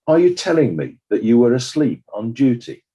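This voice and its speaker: Guy acting as a sceptical coroner in a courtroom drama, formal and probing, mellow slightly husky voice. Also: mid-range voice, sing-song, normal speed. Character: stern, sceptical coroner questioning witnesses at an inquest.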